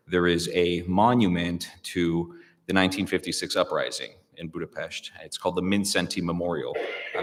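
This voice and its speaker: A man with a very low voice speaks slowly and reflectively, pausing often with fillers and restarting his sentences. He is a guest in a public conversation, and his voice rings with the long reverb of a large hall. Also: fairly bright tone. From United States. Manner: thoughtful, hesitant, many fillers and restarts.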